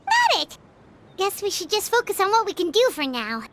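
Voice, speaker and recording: A woman voicing a tiny, chirpy, blunt fairy companion with a squeaky childlike voice in a video game. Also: normal speed, full character voice. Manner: animated, exaggerated. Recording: music playing behind the voice.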